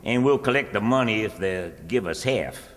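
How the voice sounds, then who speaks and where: A man's voice: fairly low pitch, lively intonation, moderate pace, a bit bright. An actor playing a self-important, scheming country businessman, explaining things insistently in an old-time radio comedy. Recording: reverberant hall stage performance.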